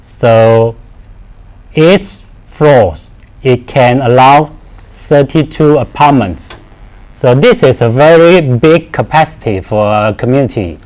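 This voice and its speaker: A man in his thirties presents technical product training at a slow pace. He speaks haltingly in simple, non-native phrasing, often repeating a word before he moves on. His voice sits at an ordinary male pitch. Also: darkish tone.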